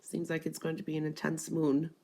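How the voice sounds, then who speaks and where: A woman with a stuffed-up, congested voice giving an intuitive spiritual reading, pausing often with "um" and repeating that she feels the energy. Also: sits low, monotone, gravelly, dark tone. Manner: hesitant, frequent "um" and "I do feel that energy". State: congested from allergies. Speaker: tarot and gemstone reader.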